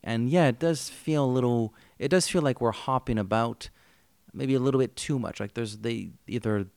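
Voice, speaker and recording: A man co-hosting a podcast in a relaxed review chat, talking at a middle pitch. He fires off quick asides and laughs easily. His voice sounds dry and close to the mic. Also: gravelly, clean tone, no echo. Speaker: podcast co-host.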